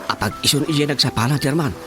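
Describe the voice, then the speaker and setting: A man's voice: medium pitch, fast, close to an everyday voice. An actor playing a chatty, deferential village man with a Filipino accent, hesitant and repetitive, in a comedy radio drama. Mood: agreeable. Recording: radio drama with music underneath.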